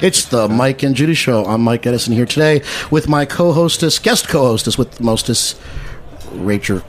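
A man's voice: mid-range voice, moderate pace, slight background noise. A man hosting a live radio show in casual banter, irreverent and freely profane.

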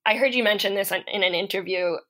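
A woman's voice: medium pitch, quick pace, sharp tone. A woman hosting an interview, asking curious, engaged questions in a casual tone. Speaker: podcast host, interviewer. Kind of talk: conversational interview.

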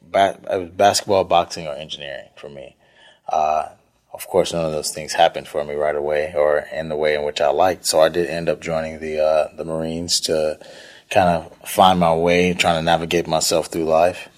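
A man's voice: a bass voice, little pitch movement, moderate pace. A thirty-year-old man from Houston, Texas, giving conversational answers as an interview guest, hesitant and thinking as he goes. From northeast Houston, Texas. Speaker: interview guest, chef and restaurant owner.

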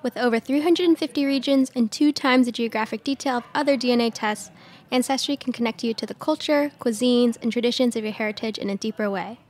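Higher-pitched American woman hosting a podcast. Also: fast, darkish tone. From Southern California. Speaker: podcast host. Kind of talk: scripted intros and conversational interview.

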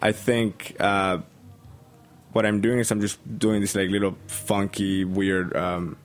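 A man's voice: on the low side, flat delivery, takes it slowly. A young man from Colombia with a Colombian Spanish accent, a bartender guest chatting hesitantly with many ums. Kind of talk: casual interview chat.

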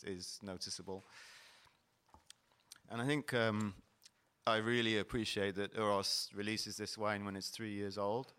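A man's voice: lowish voice, fairly bright tone, clear, resonant tone. A middle-aged man hosting a wine panel, explaining the wines in a descriptive, explanatory way. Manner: explanatory, descriptive tasting talk. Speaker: panel host. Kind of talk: wine tasting presentation. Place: event hall at a wine fair.

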